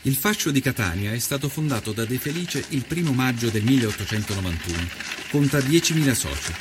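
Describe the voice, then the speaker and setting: A man reads as a storyteller, at a middle pitch. His delivery is measured, literary and reflective, like a written travel account performed aloud. Faint ambient noise sits behind the voice. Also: lively intonation. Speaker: narrator and storyteller. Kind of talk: scripted dramatic narration of a historical first-person account. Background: ambient soundtrack.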